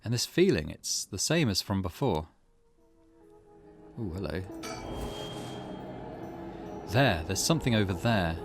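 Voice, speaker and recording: A man's voice: low voice, big pitch swings, unhurried, long pauses. A man hosting a let's play, chatting casually, thinking aloud and reading game text out as he goes. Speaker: gaming channel host. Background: game music.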